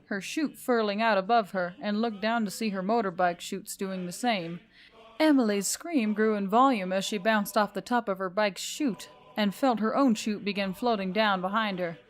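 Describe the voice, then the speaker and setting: Woman doing dramatic audiobook style narration, mid pitched voice, scripted fiction. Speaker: story narrator.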